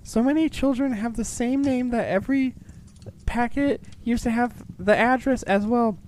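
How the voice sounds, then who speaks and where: Storybook Christmas elf secretary, male performer: very high, earnest, chatty, busy; faint background noise. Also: normal speed, darkish tone. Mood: earnest, newsy.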